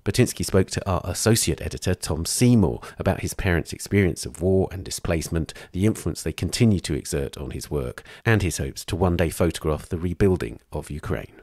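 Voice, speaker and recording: Deep-voiced male podcast host, dry sound. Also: animated, brisk, few pauses, darkish tone, dry recording. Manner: smooth, read-aloud delivery. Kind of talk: scripted podcast narration.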